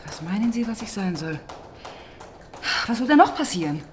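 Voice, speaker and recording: An actress playing a sharp-tongued, impatient police inspector who narrates a radio crime drama in a brusque, sardonic manner. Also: female voice, medium pitch, big pitch swings, normal speed, a little hiss or hum, natural voice. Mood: angry, brusque. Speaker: narrator and lead character.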